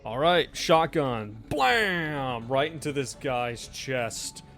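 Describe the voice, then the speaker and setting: A man's voice: medium pitch, lively intonation, slow, fairly bright tone, soft background music. A man working as game master, casually narrating a tabletop horror game and calling for rolls.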